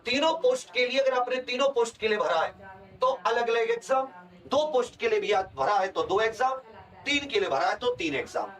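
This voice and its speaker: A man's voice: very high-pitched, a little fast, a bit bright, recorded from a distance. A man giving an explanatory lecture as a coaching teacher, repeating key points for emphasis. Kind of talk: explanatory lecture to exam aspirants.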